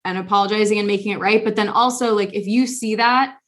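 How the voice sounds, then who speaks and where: A woman's voice: mid-range voice, fairly even intonation, fast talker, a bit bright. Earnest young woman chatting on a podcast. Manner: earnest, many fillers and restarts. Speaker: podcast host. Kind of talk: casual conversation.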